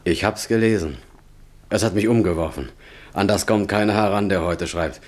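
A man's voice: sits low, normal speed. An actor playing a devoted young man, earnest and apologetic, in a German radio drama. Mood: earnest admiration. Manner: earnest, sincere, restrained. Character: devoted young male companion of an ailing writer, earnest, apologetic.

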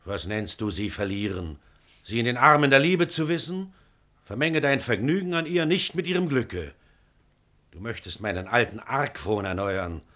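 A man's voice: mid-range voice, expressive, normal speed, pushed and bright. An actor playing a stern, suspicious middle-aged father, proud and declamatory, in a classical radio drama. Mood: reproachful. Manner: declamatory, severe. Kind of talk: classical stage-style radio drama acting.

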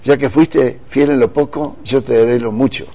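A man's voice: mid-range voice, fairly even intonation, normal speed, gravelly, dark tone. A man speaking as a talk show guest, assertive and sententious in motivational conversation. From Argentina.

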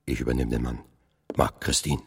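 An actor in a radio play voicing a stern, curt commander with a gruff, gravelly voice. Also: male voice, a bass voice, level tone, normal speed, fairly bright tone, exaggerated voice. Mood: tense command. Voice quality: gravelly, husky. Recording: long echoing reverb.

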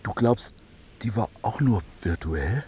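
A man's voice: on the low side, expressive, unhurried, mellow, highs cut off, slight background noise. An actor playing an irritable, boozy, suspicious man in a German radio play, speaking bluntly and impatiently in everyday colloquial language. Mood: uneasy.

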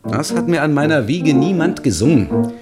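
Ein Schauspieler spielt in einem Hörspiel einen empörten, theatralisch leidenden Filmkomponisten, der nachdrücklich protestiert und klagt. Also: male voice, a little high, animated, moderate pace, loud music behind the voice, lightly acted. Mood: resigned lament. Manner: emphatic, lamenting, protesting. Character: indignant, theatrically suffering film composer. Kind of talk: radio play dialogue.

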